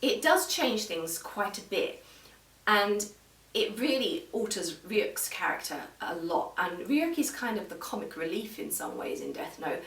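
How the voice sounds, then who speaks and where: A woman giving an informal, measured review straight to viewers, explaining things as she goes. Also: medium pitch, sing-song, creaky, fairly bright tone. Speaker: video reviewer. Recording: roomy echo, distant microphone.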